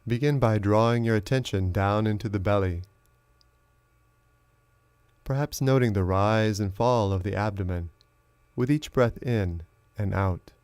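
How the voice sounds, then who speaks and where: Male meditation teacher, fairly low register, measured instructional delivery with generous pauses, very dry recording. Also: a little mellow, clear, resonant tone, dry recording. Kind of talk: guided meditation narration.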